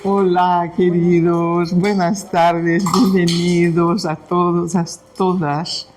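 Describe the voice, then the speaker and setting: A man from Brazil whose first language is Portuguese, speaking Spanish with a Brazilian Portuguese accent. He leads a devotional spiritist talk and tells a story in a gentle, unhurried way with frequent pauses. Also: high-pitched, level tone, slight background noise. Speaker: spiritist study presenter.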